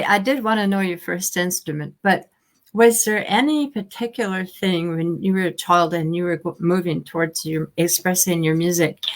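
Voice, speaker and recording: Woman host asking rambling questions. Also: medium pitch. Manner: rambling questions with restarts, frequent laughs. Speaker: interview host. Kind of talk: casual interview.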